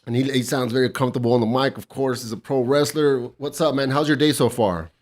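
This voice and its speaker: A man's voice: mid-range voice, fast talker. Laid-back swearing podcast host man. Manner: laid-back, swears often, says "hell yeah". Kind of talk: casual podcast interview.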